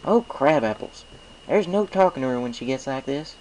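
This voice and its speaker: A man's voice: mid-range voice, animated, normal speed, darkish tone, slight background noise, voice pushed up, natural voice, poor audio. A man voicing a no-nonsense country mare pony in a storybook reading, with a folksy, emphatic manner. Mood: exasperated.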